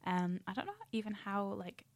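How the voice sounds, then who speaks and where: A young woman from Scotland with a mellow Scottish accent, chatting casually and in a rambling, giggly way as a podcast co-host. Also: medium pitch, normal speed, no room sound. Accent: soft Scottish. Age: young adult. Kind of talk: casual chat.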